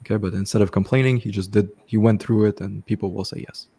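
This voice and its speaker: Give me a man with a deep, warm voice hosting a casual live Q&A. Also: steady tone, fast, breathy edge. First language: French. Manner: casual, repeats "okay" and "bro", frequent restarts. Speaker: host coaching online business. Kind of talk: live stream Q&A, casual advice.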